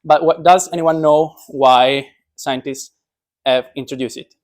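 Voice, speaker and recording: A man's voice: a little high, fairly even intonation, a little slow. Joking young man giving an informal science talk. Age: young adult. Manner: joking, self-deprecating. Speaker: speaker, physics PhD student.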